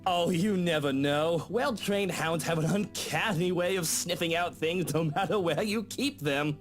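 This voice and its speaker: An actor playing a haughty upper-class British husband in a visual novel game, condescending and sardonic, with a sneering drawl. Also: male voice, on the high side, unhurried, close to an everyday voice. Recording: guitar music behind the voice.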